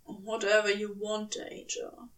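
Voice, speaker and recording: A woman voicing a devoted, rough-edged male demon lover in an audio fiction reading, with a hoarse, low-key delivery. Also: completely flat delivery, slow pace, fairly bright tone, recorded from a distance, poor audio. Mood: hopeful.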